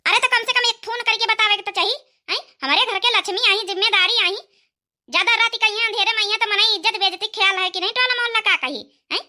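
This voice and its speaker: A woman's voice: extremely high pitch, quick pace, sharp tone, full character voice. A woman voicing a scolding old village mother-in-law in a cartoon comedy, complaining in a rustic Awadhi accent.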